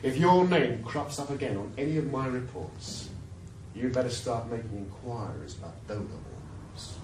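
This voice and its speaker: A man's voice: mid-range voice, expressive, moderate pace, background noise, natural voice. A man playing a bullying chief inspector. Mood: threatening. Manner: cold, threatening. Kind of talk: 1980s radio drama acting.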